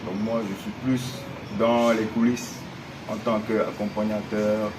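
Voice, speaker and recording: A man's voice: lowish voice, unhurried. Man raised in senegal, lots of euh, earnest answers, far off the mic with a noisy hum. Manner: hesitant, many fillers, earnest. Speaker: guest. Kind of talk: interview answers. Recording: distant mic with steady noise.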